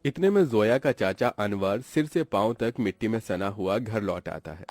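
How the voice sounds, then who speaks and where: Low-voiced male story narrator. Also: lively intonation, moderate pace, a little mellow. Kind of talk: scripted cartoon story narration in Hindi.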